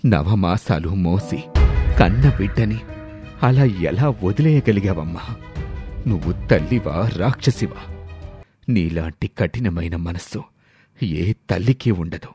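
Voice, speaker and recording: A man voicing a stern, wealthy old grandfather who scolds in a slow, weighty way. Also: low-pitched, mellow, pitched below the performer's own voice. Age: older.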